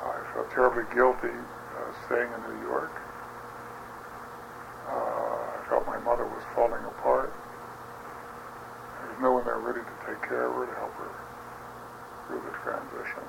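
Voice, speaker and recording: Gravelly older man recalling his past. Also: medium pitch, sing-song. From Baltimore, United States. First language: Yiddish. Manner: reflective, halting with many fillers. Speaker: interviewee, rabbi. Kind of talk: oral history interview. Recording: dull lo-fi recording with clear hiss.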